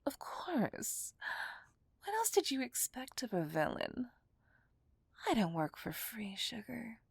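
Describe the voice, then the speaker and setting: A woman's voice: a little high, big pitch swings, vocal fry, husky, dry recording, exaggerated voice. A woman playing a seductive, possessive female villain in a whispered ASMR roleplay, teasing and dominant, speaking breathily close to the microphone. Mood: sly, confiding. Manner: teasing, dominant, drawn-out delivery. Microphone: close microphone, dry sound.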